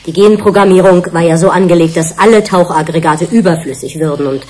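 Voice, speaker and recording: A woman playing a sober, critical research scientist in a radio play, with measured, argumentative delivery. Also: on the low side, darkish tone, close to an everyday voice. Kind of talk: German radio play acting.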